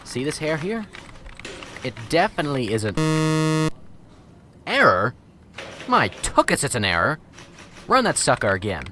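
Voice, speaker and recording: A man's voice: mid-range voice, huge pitch swings, measured pace, long pauses. A man voicing a chatty, wisecracking police lab technician in an amateur radio drama. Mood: wry.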